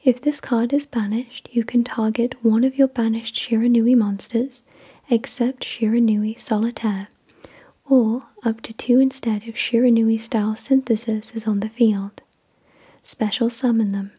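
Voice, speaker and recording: A woman whispering in a breathy, unvoiced voice, reading in a gentle, measured ASMR style. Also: a little high, steady tone. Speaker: ASMR host. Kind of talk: whispered ASMR card unboxing, scripted reading.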